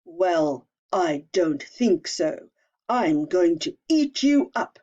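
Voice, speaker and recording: Lady doing a mean old storybook troll, low growly voice, drags every word out, sounds kinda muffled and husky. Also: big pitch swings, mellow, heavily acted. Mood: gruff. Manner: menacing, slow and booming. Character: nasty ugly old male troll under a bridge. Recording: dull lo-fi recording.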